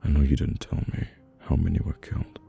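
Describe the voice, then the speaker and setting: A man with a British accent playing a cold, dominant mafia boss in an ASMR audio roleplay, speaking in a measured, commanding way with long deliberate pauses. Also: very deep voice, level tone, vocal fry, warm tone, soft background music, heavily acted. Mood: stern, angry. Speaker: voice actor. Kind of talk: ASMR audio roleplay, slow dramatic monologue.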